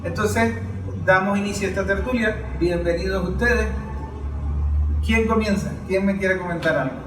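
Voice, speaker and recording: A man's voice: high-pitched, moderate pace. A Venezuelan man hosting and introducing a political round-table discussion. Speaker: host and moderator. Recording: roomy, noisy, distant microphone.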